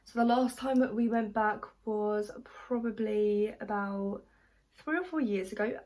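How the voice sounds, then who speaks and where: A woman's voice: medium pitch, unhurried. A young woman from South Africa who grew up speaking Afrikaans, chatting casually while she does her makeup for a vlog. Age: young adult. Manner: chatty, relaxed, says "literally" and "just" a lot. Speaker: makeup vlogger. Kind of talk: casual makeup tutorial chat.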